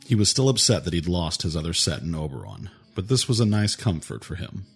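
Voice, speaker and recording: A male narrator reads fantasy fiction in a very deep register. The delivery is scripted audiobook storytelling, with faint music running behind the voice. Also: very animated, a little fast, vocal fry, slightly rough.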